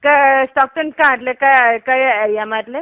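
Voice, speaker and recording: A woman's voice: sits high, fairly quick. A woman with a Gujarati accent on a phone call, questioning and guarded. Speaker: recipient of a prank call. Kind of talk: unscripted phone conversation. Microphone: telephone. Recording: tinny narrowband phone line.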